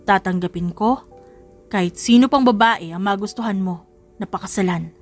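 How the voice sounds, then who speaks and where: A woman's voice: mid-range voice, normal speed, faint music behind, voice pushed down, natural voice. A woman voicing a stern but loving old grandfather in a dramatised romance audiobook. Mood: tender, solemn.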